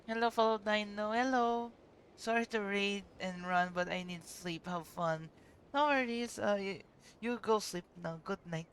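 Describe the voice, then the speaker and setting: Giggly excitable man streaming a game. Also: high voice, measured pace, slight background noise. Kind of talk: casual live-stream chat.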